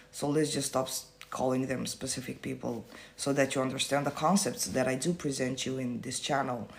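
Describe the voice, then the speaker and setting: A man's voice: mid-range voice, gravelly. Blunt, casual man on a livestream. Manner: blunt and casual, swears freely; explains by repeating himself. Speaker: manifestation coach. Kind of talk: livestream Q&A, reading viewer comments.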